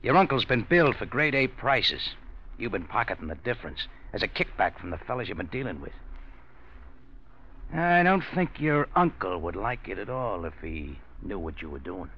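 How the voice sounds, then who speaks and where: An American actor playing a ruthless, smug gangster in a 1940s radio crime drama, gravelly, slangy and menacing. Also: male voice, fairly low pitch, very animated, normal speed, heavily acted. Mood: smug, needling. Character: ruthless, smug male gangster making a shakedown. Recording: muffled vintage radio recording.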